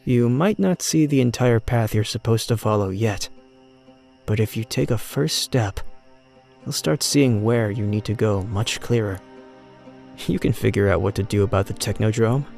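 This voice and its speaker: A man's voice: lowish voice, normal speed, vocal fry, darkish tone, faint music behind. A man playing a troubled, earnest young mutant turtle brother in an audio comic drama. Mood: encouraging.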